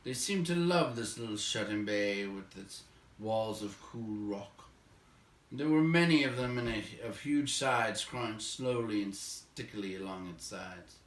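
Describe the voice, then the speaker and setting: A man reading a story aloud as narrator, with expressive, dramatic phrasing. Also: on the low side, unhurried. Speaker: audiobook reader. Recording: roomy echo, distant microphone.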